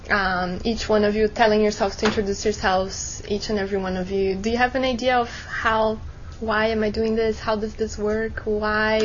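A woman's voice: mid-range voice, creaky, lo-fi sound, a little hiss or hum. A woman instructor leading a classroom workshop, encouraging students and asking rhetorical questions. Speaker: law school instructor.

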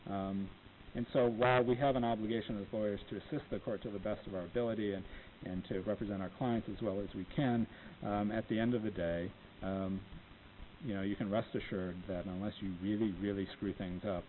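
Man, low and plain podium lecturer, filler-heavy, tinny phone-like audio with faint hiss. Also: fairly even intonation. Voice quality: low voice, not dynamic. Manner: unhurried, many ums, plainspoken. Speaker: lecturer on appellate oral argument. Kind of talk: informal talk to law students. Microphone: podium microphone.

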